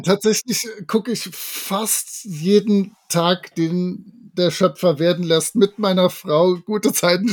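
Male podcast co-host, voice on the high side, enthusiastic and chatty, informal fan talk. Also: darkish tone. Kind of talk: casual fan podcast chat about audio dramas.

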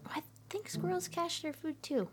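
A woman's voice: very high voice, moderate pace, music in the background. A woman co-hosting a podcast, chatting casually and giggling often, with frequent restarts. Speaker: podcast co-host. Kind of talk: casual chat.

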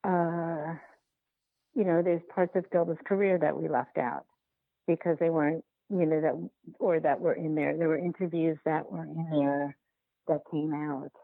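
A woman being interviewed as a documentary filmmaker, talking casually and haltingly, repeating and rephrasing as she thinks aloud, over a remote call. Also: deep voice, fairly even intonation, creaky, no room sound. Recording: phone-like narrow-band line.

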